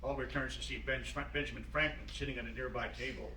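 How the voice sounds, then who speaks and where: A man's voice: mid-range voice, steady tone, fast, pushed and bright. A man reading scripted stage directions as narrator at a staged play reading. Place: room at a live staged reading. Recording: distant, roomy, noisy live stage recording.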